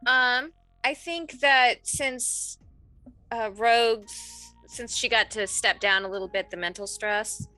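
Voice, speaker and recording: A woman chatting and roleplaying as a tabletop game player, informal, slangy and animated in manner. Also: on the high side, slow, dry recording, faint music behind. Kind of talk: casual improvised roleplay chat.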